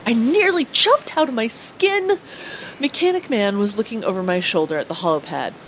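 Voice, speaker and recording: A woman's voice: a little high, expressive, moderate pace, slight background noise, close to an everyday voice. A woman playing an anxious young starship pilot who narrates her own story in a first-person audio drama. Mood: uneasy, worried.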